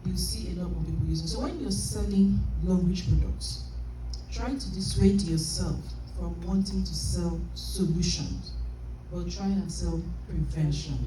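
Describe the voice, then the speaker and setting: A woman's voice: fairly low pitch, a little slow, far from the mic, a little hiss or hum. Emphatic woman trainer in an echoey hall. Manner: animated, emphatic, laughs often. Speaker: business trainer. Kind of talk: motivational sales training talk. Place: large convention hall.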